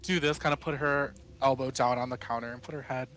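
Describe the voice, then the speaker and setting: A man's voice: medium pitch, fairly even intonation, fast, fairly bright tone. A man describing his character's actions casually and a little hesitantly as a player in a tabletop roleplaying game.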